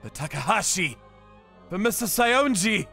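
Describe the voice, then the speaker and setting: A man voicing a brash, hot-headed young gangster with a punchy, forceful delivery in an animated manga dub. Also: sits high, a little slow, creaky, husky, soft background music, full character voice. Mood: fired up.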